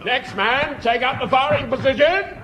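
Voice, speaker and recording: An actor playing a bossy, barking army sergeant major in a British sitcom. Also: male voice, extremely high pitch, moderate pace, lo-fi sound. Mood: brisk, commanding. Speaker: actor in a comedy. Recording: noisy old comedy broadcast.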